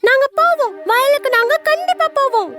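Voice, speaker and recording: A woman's voice: very high voice, lively intonation, brisk. A woman voicing a cheeky, defiant little chick in a children's cartoon, childlike and petulant, with music behind. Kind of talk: children's cartoon story acting.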